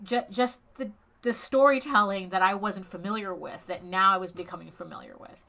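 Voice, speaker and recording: A middle-aged woman from Spain, an artist being interviewed over a video call, talking casually in a halting way with frequent restarts and small chuckles. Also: mid-range voice, creaky, pushed and bright. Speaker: comic artist guest.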